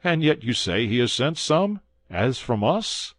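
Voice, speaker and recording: An earnest young swordsman hero, played by a male reader, speaks with urgency and fervor, lifted above the reader's low natural voice. His lines move with lively pitch, close and dry. The tone is a classic adventure storybook. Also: measured pace, no echo. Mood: urgent. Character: young male guardsman hero, passionate and alarmed.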